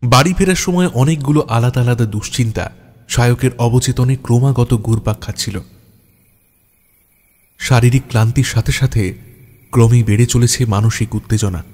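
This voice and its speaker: A man's voice: mid-range voice, moderate pace, pauses often, darkish tone. A man reading scripted narration for a detective audio story. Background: background music.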